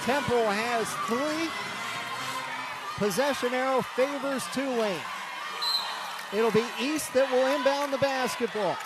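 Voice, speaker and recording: A man's voice: extremely high pitch, very noisy. A man giving live radio play-by-play commentary at a sports venue, projecting his voice in urgent, clipped phrases.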